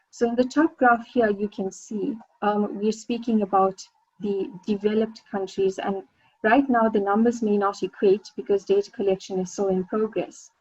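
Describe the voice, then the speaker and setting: A woman's voice: medium pitch, mellow, breathy edge, no echo, highs cut off. A South African woman, a senior lecturer, giving an academic presentation on a video call with frequent ums and uhs. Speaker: senior lecturer, webinar panelist.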